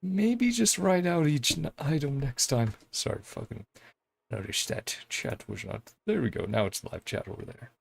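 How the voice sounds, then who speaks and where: A man's voice: mid-range voice, moderate pace, gravelly. A man streaming a game, chatting casually and reading the dialogue aloud in his own voice with frequent chuckles. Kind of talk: casual live-stream commentary. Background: faint game music.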